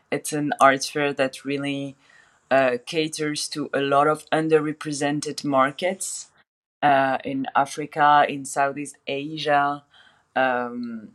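A woman's voice: low-pitched, fairly bright tone. Woman speaking as art advisor. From France. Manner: fluent, with many repeated starts. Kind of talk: casual interview chat. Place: remote call from another city.

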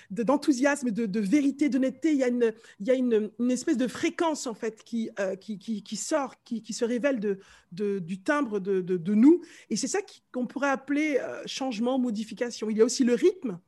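A middle-aged woman from Martinique with a light Martinican accent, a vocal coach telling stories in a casual interview, animated and fond of mimicking voices. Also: fairly high pitch. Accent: light Martinican French.

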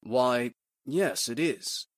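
A man playing a proper, wordy gentleman in a 1940s radio drama, with genteel old-fashioned exclamations. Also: mid-range voice, drawn-out words, dry recording, studio quality. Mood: flustered.

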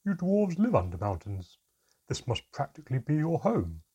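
A man voicing a tiny, proud female mermaid with a deep, strong voice and a sarcastic, sneering, bitter manner. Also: animated, normal speed, warm tone, husky, muffled recording. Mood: haughty, dismissive.

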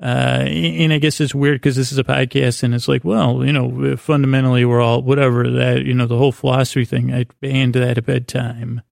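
Male podcast host, heavy vocal fry, dry close sound; rambling, halting, self-deprecating bedtime monologue. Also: mid-range voice, little pitch movement, a little mellow, no room sound. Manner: meandering, halting, stammering and doubling back on phrases.

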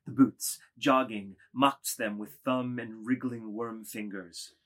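A man's voice: mid-range voice, fairly even intonation, slow, a bit bright. A man narrating a dramatised novel, reading out stage directions as scripted narration.

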